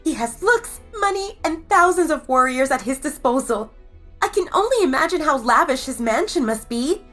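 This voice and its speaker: A young female werewolf, chatty and persuasive, who teases and flatters her friend. The voice sits high and swoops about lively. Soft music hums behind her in this audio drama. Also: moderate pace. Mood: coaxing. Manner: eager, persuasive, teasing. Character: gushing young woman werewolf, the bride's friend.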